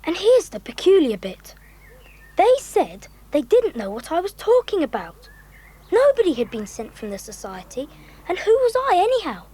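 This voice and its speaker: Well-spoken boy leader, children's audio drama. High, animated, earnest and polite. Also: normal speed, full character voice. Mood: puzzled, earnest. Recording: clear background noise with birdsong.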